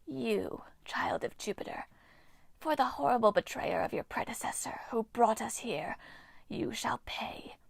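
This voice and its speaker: Woman voicing a menacing ancient nymph, whispered, slow, hissing, storybook reading. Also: on the high side, animated, gravelly, husky, no room sound, full character voice. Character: bitter ancient female water nymph, hungry and menacing.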